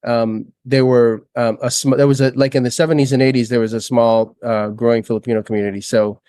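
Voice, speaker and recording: A man's voice: mid-range voice, level tone, fairly quick, mellow, clear, resonant tone, dry recording. A man from American Samoa, a podcast co-host chatting in a friendly, joking way with many restarts. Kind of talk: casual podcast chat.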